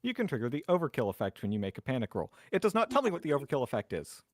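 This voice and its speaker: A man's voice: medium pitch, huge pitch swings, rapid-fire, no echo. Male tabletop player, mid-range voice, casual, theatrical, self-mocking chat, dry close recording. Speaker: player in a tabletop roleplaying game.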